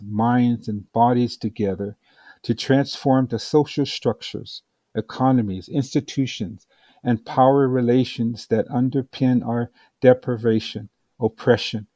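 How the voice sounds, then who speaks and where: A man with a dark, mellow voice narrates a scripted advocacy text. His delivery is very slow and deliberate, with a solemn, measured weight. The sound is dry and close, free of room reflections. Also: mid-range voice, no echo. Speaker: audiobook reader.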